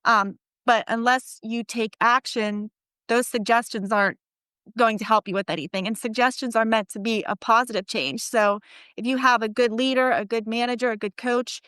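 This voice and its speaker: Bright, clear-voiced woman co-hosting. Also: a little high, steady tone, normal speed, dry recording. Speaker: podcast co-host. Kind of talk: casual explanatory talk.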